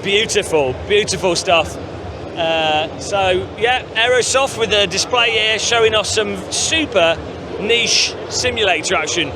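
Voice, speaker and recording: A man's voice: high-pitched, unhurried, background noise. A male gaming channel host chatting casually and upbeat, with lots of fillers, on a walkthrough of a busy gaming convention floor.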